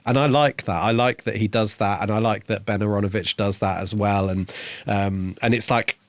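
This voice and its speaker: Male co-host, lowish voice, very dry close sound, explanatory but informal book chat. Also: steady tone, normal speed, dry recording. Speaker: podcast co-host reviewing books. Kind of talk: casual book discussion.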